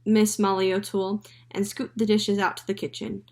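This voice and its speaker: A woman's voice: mid-range voice, flat delivery, moderate pace, darkish tone, close to an everyday voice. A woman voicing a playful, teasing father in a children's story.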